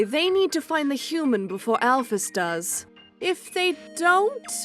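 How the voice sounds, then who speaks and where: Woman voicing a bright, musing watcher. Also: high voice, heavily acted. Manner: musing, detached, conversational. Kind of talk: amateur comic dub monologue. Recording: music bed under the voice.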